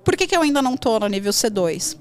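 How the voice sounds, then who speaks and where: Chatty high-voiced Brazilian woman host. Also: fast talker. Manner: animated, chatty, slangy, jumps between thoughts. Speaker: podcast host, English teacher. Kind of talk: casual podcast chat.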